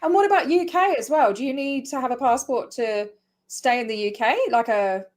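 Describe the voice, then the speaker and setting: A woman's voice: a little high, moderate pace. A woman from Glasgow an edge, hosting a casual online interview, friendly and encouraging, laughing often. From Scotland, Glasgow. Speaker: podcast host.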